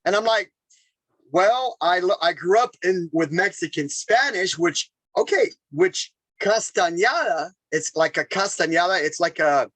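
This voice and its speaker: High-voiced animated man, dry sound. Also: measured pace, no echo, low-quality recording. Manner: animated, talks in bursts with repeated phrases. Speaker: chef and podcast guest. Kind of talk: casual storytelling interview.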